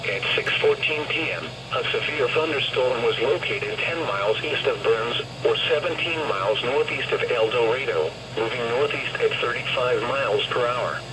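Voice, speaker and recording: A man's voice: medium pitch, animated, moderate pace, pauses often. A synthetic male voice reading a formal scripted warning bulletin impersonally over a weather radio. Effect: weather radio broadcast. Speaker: weather radio alert announcer. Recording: radio speaker picked up in noisy surroundings.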